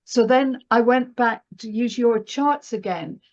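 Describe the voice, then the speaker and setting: A woman with a fairly high voice talks as an expert guest in a conversational discussion. She makes her points emphatically and keeps checking that she is being followed. The audio is slightly dull and lo-fi. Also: a little slow, darkish tone. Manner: emphatic, checks in with "right?" and "you know". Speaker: guest astrologer and market analyst. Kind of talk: conversational interview discussion of financial astrology.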